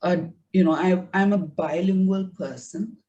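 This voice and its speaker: Woman, native Tamil speaker, low unhurried voice, halting reflective guest heard from a distance on a video call. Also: warm tone, lo-fi sound. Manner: hesitant, many fillers and restarts. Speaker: interview guest, writer. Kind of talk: reflective conversational interview.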